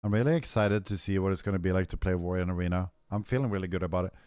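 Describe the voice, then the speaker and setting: A young man streaming a game, chatting casually with his viewers, hoarse and clearing his throat as he rambles. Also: deep voice, fairly even intonation, racing, darkish tone, no echo, clean studio sound. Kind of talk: casual stream chat, answering chat.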